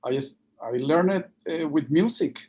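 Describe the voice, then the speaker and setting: Spanish-accented man, dark mellow voice. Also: fairly high pitch. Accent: Venezuelan Spanish. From Venezuela. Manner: halting, many fillers and restarts. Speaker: guest, NGO project leader. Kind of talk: livestream interview, storytelling. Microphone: video call.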